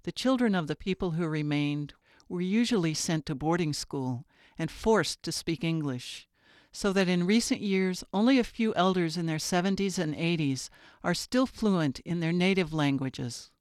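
A woman hosting a radio feature, reading scripted narration in a measured, clear way. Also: lowish voice, a little mellow, no echo, clean studio sound.

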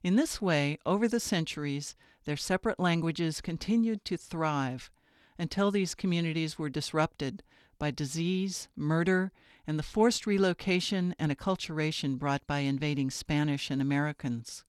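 A woman hosting a radio feature, reading scripted narration in a measured, clear way. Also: sits low, dry recording, studio quality.

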